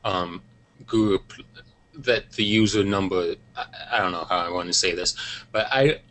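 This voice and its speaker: A man arguing his point on a web panel in a low but bright, forceful voice. He is firm in his views yet keeps hedging with "um" and "I think". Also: moderate pace, low-quality recording. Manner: opinionated, hedging with fillers. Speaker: panel guest. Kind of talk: casual panel discussion. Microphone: video call.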